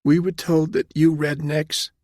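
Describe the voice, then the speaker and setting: Young female soldier, played by a man: New Jersey accent, raised pitch, timid and tearful, deferential to authority, for an audiobook novel. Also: steady tone, measured pace, no room sound, clean studio sound. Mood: fearful, tearful. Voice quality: trembling, soft. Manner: hesitant, deferential. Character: frightened young female army captain, mid-twenties, meek and tearful.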